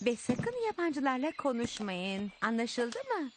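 A woman voicing a protective, gently fussing mother rabbit in a dubbed children's cartoon, motherly and cautioning. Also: a little high, big pitch swings, unhurried, background noise. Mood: concerned.

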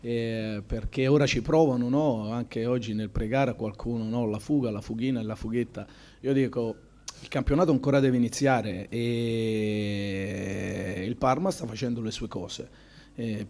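A man in his forties, a football coach, answering questions at a post-match press conference in a measured, thoughtful way, with many pauses. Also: mid-range voice, warm tone. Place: press room in front of a sponsor backdrop. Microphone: table microphone.

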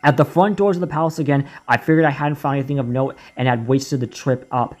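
A man reading a horror story aloud in a slow, deliberate way, now and then stumbling over a word and restarting it. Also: medium pitch, fairly even intonation, darkish tone. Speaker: narrator. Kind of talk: creepypasta reading.

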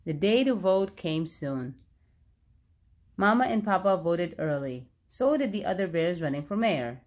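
A woman narrating a children's storybook aloud, expressively and with clear phrasing for young listeners. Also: lowish voice, sing-song, measured pace, darkish tone.

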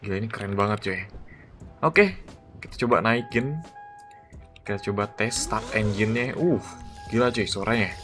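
A man giving excited, slangy gameplay commentary as a gaming YouTuber. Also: on the low side, normal speed, long pauses. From Indonesia. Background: background music.

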